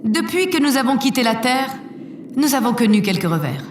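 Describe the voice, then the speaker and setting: A self-assured female president with a fairly high voice. She orates solemnly and formally, as in an audio drama. The sound is echoey and set away from the mic. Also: big pitch swings, brisk. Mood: solemn, stately. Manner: formal, oratorical. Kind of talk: scripted audio drama speech. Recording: echoey hall PA, distant, faint noise.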